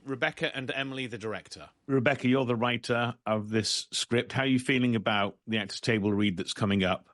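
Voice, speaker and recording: A man's voice: medium pitch, a bit bright, no echo. A man hosting a podcast, interviewing guests in a conversational way. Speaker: podcast host.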